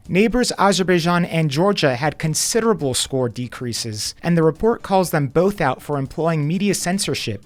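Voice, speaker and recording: A man presenting the news from a script in a measured, formal newsreader delivery. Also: fairly high pitch.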